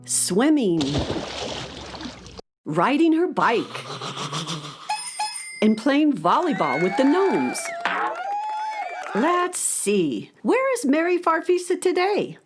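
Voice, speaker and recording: Unhurried, high-pitched woman storyteller. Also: huge pitch swings, noisy background. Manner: storytelling, wonder-filled. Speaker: narrator. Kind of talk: scripted children's story narration.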